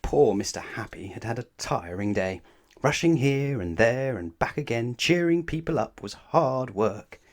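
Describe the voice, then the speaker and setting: A man narrates a children's story with dramatic emphasis. His mid-pitched voice is highly animated, rising and falling expressively through the read-aloud. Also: measured pace. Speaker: storybook reader.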